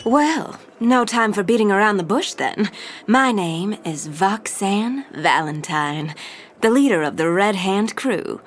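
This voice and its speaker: A woman's voice: medium pitch, lively intonation, takes it slowly, slight background noise. An actress playing a self-assured, theatrical female crime gang leader with a knowing way of talking in an audio drama.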